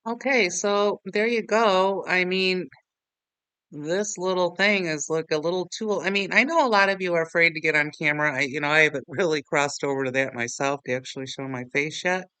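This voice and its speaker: A man with a fairly high, bright voice talks through a software tutorial. His delivery is informal and rambling, with a self-deprecating streak, and the sound is clean and close. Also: normal speed, clean tone, dry recording. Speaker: tutorial host. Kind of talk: casual screen-recording software walkthrough.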